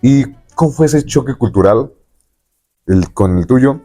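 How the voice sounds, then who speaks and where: A man's voice: mid-range voice, expressive, a little slow, mellow, soft background music. A man with a Mexican accent hosting a conversational interview, talking in a rambling way and often checking in with tag questions. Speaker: podcast host interviewing a guest.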